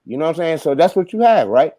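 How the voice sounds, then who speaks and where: A man's voice: on the high side, big pitch swings, quick pace, dark tone, no echo. A man in a heated group debate, lecturing and asking probing, leading questions. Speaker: debate participant.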